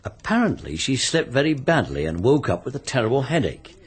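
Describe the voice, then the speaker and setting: An actor playing a matter-of-fact, sceptical investigator with an English accent, speculating drily in a radio mystery drama. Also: male voice, mid-range voice, big pitch swings, brisk, husky. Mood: dry, speculative.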